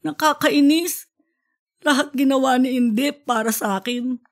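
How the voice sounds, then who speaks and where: A woman's voice: sits high, unhurried. A woman voicing a distraught, self-blaming woman professor in an audiobook story. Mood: upset, self-blaming. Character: distraught, guilt-ridden woman professor drinking in a bar.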